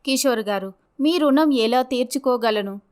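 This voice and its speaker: A woman's voice: on the high side, moderate pace, clear, resonant tone, lightly acted. A woman playing a dignified, earnest young mother abandoned by her husband, polite in manner, in a dramatised story. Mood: grateful, resolved.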